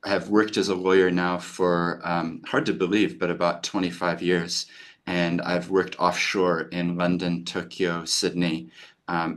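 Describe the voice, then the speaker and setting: A man's voice: low voice, a bit bright. A man in his forties from the United States with an American accent, a lawyer speaking enthusiastically on a video call from a home office. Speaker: lawyer and podcast host. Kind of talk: webinar introduction.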